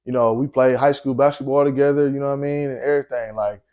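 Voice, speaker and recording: A man's voice: fairly even intonation, quick pace, smooth, lo-fi sound. Laid-back young man from Milwaukee. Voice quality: deep voice. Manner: laid-back storytelling with slang and frequent fillers. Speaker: guest rapper. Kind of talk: casual interview chat.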